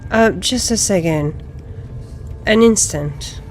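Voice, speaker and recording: A woman playing a video game in character, talking deliberately and softly to her companions as she commentates. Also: mid-range voice. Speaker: gamer roleplaying her own character. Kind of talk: immersive roleplay game commentary. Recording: game music behind her.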